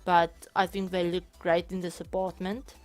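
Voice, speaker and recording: A woman, a bit hoarse from being sick, talking casually and rambling over footage of a game build, often correcting herself mid-sentence. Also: on the low side, flat delivery, moderate pace. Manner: rambling, self-correcting, chatty. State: hoarse from illness. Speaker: channel host. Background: soft background music.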